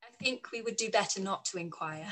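A woman's voice: mid-range voice, a little fast, fairly bright tone, natural voice. A young woman playing a witty, teasing Regency lady in a period radio play, wry and pointed. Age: young adult. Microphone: video call.

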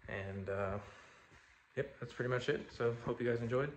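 A man's voice: medium pitch, moderate pace, muffled recording. Young male car vlogger, loose and rambling, phone held at arm's length, noisy outdoor sound. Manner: loose, rambling, excitable, swears when shocked. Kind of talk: casual vlog narration. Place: outdoors at a drag strip and car meets, indoors in a garage. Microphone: phone camera held at arm's length. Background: engines and crowd noise.

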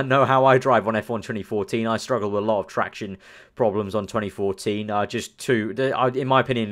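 A man's voice: on the low side, fairly bright tone. A young man from the UK, chatting casually as a gaming channel host, rambling and thinking aloud with lots of fillers. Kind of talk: casual commentary over screen.

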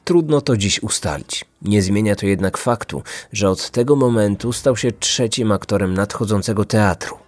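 A man's voice: on the low side, lively intonation, normal speed, hardly any pauses, darkish tone, faint music behind. A man in his thirties reading scripted true-crime narration as a podcast host.

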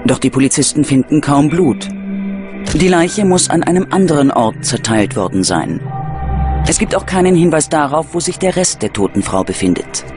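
A man's voice: on the high side, animated, moderate pace. A man narrating a true-crime documentary from a script in a dramatic, suspenseful manner. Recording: prominent music under the voice. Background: music.

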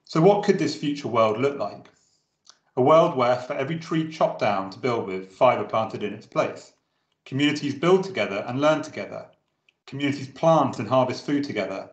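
A man narrates a prepared talk in a measured, steady way. His voice sits at a middle pitch. The room around him adds a clear echo. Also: lo-fi sound. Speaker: presenter. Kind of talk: scripted narration over drawings. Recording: roomy echo.

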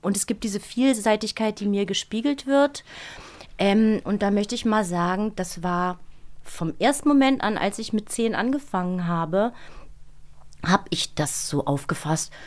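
A middle-aged woman, a voice actress, talking in a studio interview in a lively, expressive way and acting out little scenes. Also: medium pitch, unhurried. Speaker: voice actress guest. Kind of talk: interview conversation. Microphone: studio microphone.

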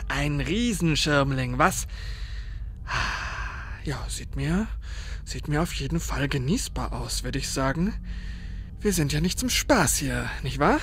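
Male actor as showy, slightly unhinged reality-show muscleman; mid-pitched, slow, gleeful delivery for horror audio drama. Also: a bit bright. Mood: delighted, sly. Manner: chuckling, showy, talking to camera.

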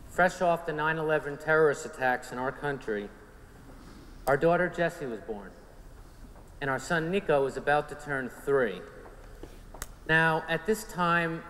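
A man in his forties speaks with a clear Long Island New York accent and a somewhat high voice, telling his faith story in an earnest, storytelling way. The long reverb of a big church sanctuary trails after his words, with faint room noise underneath. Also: fairly bright tone. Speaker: conference speaker giving testimony. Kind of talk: personal faith testimony to a men's conference.

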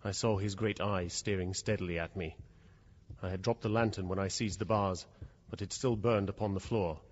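A man's voice: deep voice, fairly even intonation, normal speed, close to an everyday voice. Guy acting a scared formal storyteller in a radio play, theatrical, muffled with noise behind. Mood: hushed dread. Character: terrified male narrator trapped with a predatory cat. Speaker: narrator and lead character. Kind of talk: dramatic first-person radio storytelling. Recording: dull, noisy old radio drama recording.

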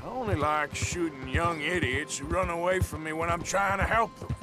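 An actor playing a gruff, weary outlaw gunslinger in a western game, with an American accent and a dry, folksy, sardonic drawl. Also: male voice, on the high side, moderate pace, lightly acted. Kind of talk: naturalistic western video game acting. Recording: music behind the voice.

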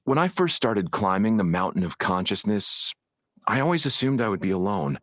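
A man's voice: sits low, fairly bright tone, no echo, studio quality. An actor voicing an earnest, slightly flustered male spiritual guide in a video game cutscene.

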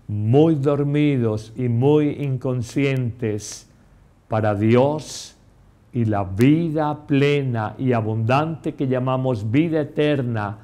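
A middle-aged priest delivers a homily at an unhurried, deliberate pace. He preaches with emphasis and talks straight to the listener. His voice sits at an ordinary pitch for a man, recorded close in a small chapel. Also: mellow. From Colombia. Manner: slow, emphatic preaching, addressing the listener directly. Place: small chapel set, indoors.

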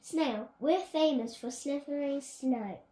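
A woman's voice: high-pitched, slow pace, dark tone. A young girl reading a children's picture book aloud on her bed, with chatty asides. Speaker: young reader. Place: bedroom, on a bed. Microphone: phone camera on a stand. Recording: echoey room.

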